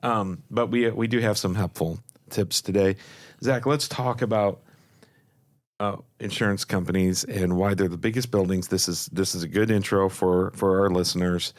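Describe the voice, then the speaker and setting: A man with a raspy voice co-hosting a podcast in casual chat, playful and joking, laughing often. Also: sits low, steady tone, normal speed, no echo, clean studio sound. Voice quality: raspy from shouting. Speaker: podcast co-host.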